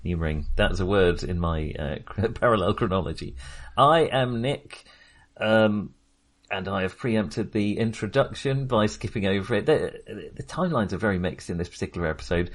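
A man's voice: lowish voice, moderate pace. A man hosting a podcast and casually discussing films in a hesitant, rambling, wry way. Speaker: podcast host.

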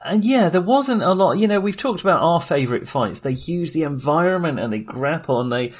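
A man's voice: a little high, brisk. A British man co-hosting a film podcast, chatting casually and expressively, often acting out imagined lines. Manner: expressive, acts out imagined quotes.

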